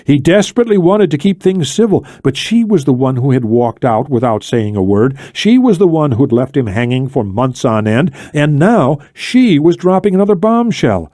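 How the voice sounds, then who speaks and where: A man narrating an audiobook of fiction with an expressive, dramatic delivery. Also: on the high side, normal speed, hardly any pauses, no room sound, clean studio sound.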